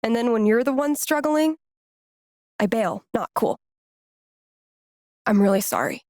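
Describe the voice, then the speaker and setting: Young American woman, slightly high voice, wry, warm-hearted indie rocker, casual slang, naturalistic game dialogue, dry studio sound. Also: lively intonation, unhurried, no echo. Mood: apologetic. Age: young adult. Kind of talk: naturalistic video game voice acting.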